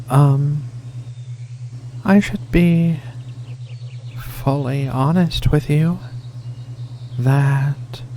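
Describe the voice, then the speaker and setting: A man in a soft-spoken ASMR roleplay as a gentle, shy alien cat woman, speaking haltingly and tenderly over faint ambience. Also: on the high side, slight background noise. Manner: hesitant, halting, stammering, tender.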